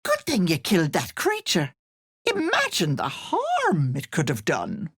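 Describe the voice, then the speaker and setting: A woman's voice: lowish voice, wildly swooping pitch, measured pace, husky, no room sound, clean studio sound, full character voice. A woman voicing a sly old hag in a video game, sweet-talking with cloying endearments and veiled menace. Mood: scornful, scolding. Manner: cloying endearments laced with threats. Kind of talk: video game character voice lines.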